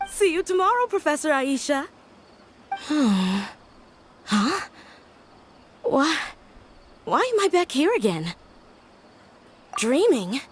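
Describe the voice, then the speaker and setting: A woman voicing a wistful, groggy character with a halting, reflective delivery in a video game. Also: sits high, very animated, slight background noise, heavily acted. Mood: dazed, resigned. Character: wistful, groggy woman looking back on old memories.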